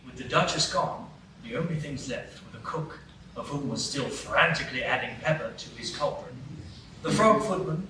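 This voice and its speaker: A man narrating a storybook radio play in a measured, storytelling way. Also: mid-range voice, animated, pushed and bright, a little hiss or hum. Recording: roomy live stage, distant mics.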